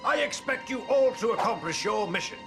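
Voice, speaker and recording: A man's voice: high voice, animated, a little fast, over music. A man voicing a bossy military commander ion in a children's cartoon, barking formal orders to his troops. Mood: commanding.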